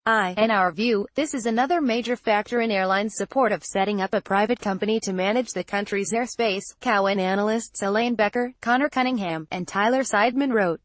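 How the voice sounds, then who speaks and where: A woman narrates a news article from a script. Her delivery is formal and literal, at a middle pitch, with a very dry, close sound free of room echo. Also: brisk, hardly any pauses. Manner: reads formally, word for word.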